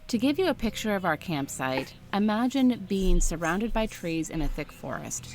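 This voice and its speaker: A woman reading a first-person story aloud in a steady, scripted narration style. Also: medium pitch, moderate pace, faint music behind, rough recording.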